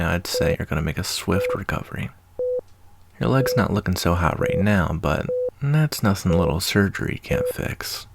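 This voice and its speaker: A man acts out a kindly wasteland doctor for an ASMR roleplay, in a low voice with a gravelly fry. He is soft-spoken, folksy and reassuring, and his tone moves around with easy expression. Loud noise runs behind him. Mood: calm, reassuring.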